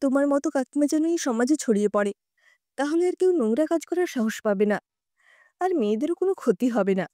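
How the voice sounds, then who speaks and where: An anxious young mother voiced by a woman narrator in an audio story. She is tender-hearted, earnest and emotional, with a mellow tone and expressive pitch. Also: a little fast, dry recording, clean studio sound. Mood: tearful, apologetic.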